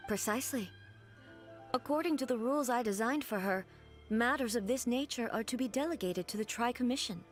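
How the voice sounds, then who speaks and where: A woman voicing a solemn, regal immortal goddess in a video game, speaking in a formal, measured and stately way. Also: a little high, faint music behind, natural voice. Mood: solemn, composed.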